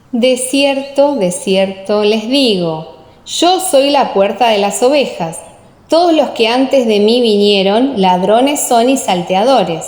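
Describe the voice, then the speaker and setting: A woman narrating a dramatized scripture audiobook in a solemn, measured, carefully enunciated way, with heavy reverberation. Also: on the high side, a little mellow. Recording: echoing, long reverb.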